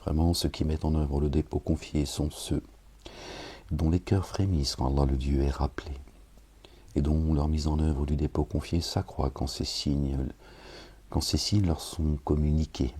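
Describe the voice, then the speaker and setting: Super low gravelly guy, dark husky tone, grumbles a bit then reads scripture slow. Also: level tone. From France. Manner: grumbling, wry asides, addresses listeners directly; slow, measured recitation with Arabic invocations. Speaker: preacher reading scripture. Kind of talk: casual talk then solemn scripture reading.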